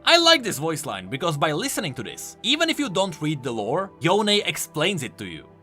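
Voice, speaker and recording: A man's voice: on the high side, wildly swooping pitch, moderate pace, bright, forceful tone, faint music behind. A man giving enthusiastic, explanatory commentary on video game lore, leaning hard on key words for emphasis.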